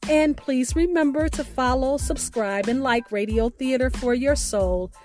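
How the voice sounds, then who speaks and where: A woman hosting a podcast, reading a scripted sign-off in a deliberate, emphatic way. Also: high voice, darkish tone, soft background music. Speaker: podcast host.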